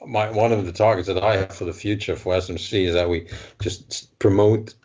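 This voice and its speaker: A man's voice: low-pitched, steady tone, fairly quick, darkish tone. A man from the Netherlands, a model show organizer, talking in a casual podcast chat, hesitant with many restarts. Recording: dull lo-fi remote audio.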